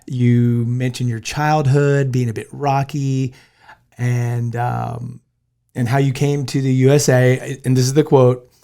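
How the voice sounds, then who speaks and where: A man's voice: medium pitch, flat delivery, slow pace, dark tone, clear, resonant tone. A man from the United States hosting a podcast, chatting in a friendly, easygoing way and joking with his guest. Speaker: podcast host. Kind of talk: casual interview chat.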